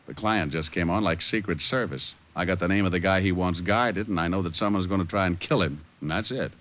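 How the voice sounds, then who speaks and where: An actor playing a wisecracking, flippant private detective in an old-time radio drama. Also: male voice, sits low, fairly quick, close to an everyday voice. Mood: rueful, joking. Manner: wry, flippant, slangy. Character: wisecracking, sharp-witted male private detective. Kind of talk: 1940s radio acting. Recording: dull old radio recording.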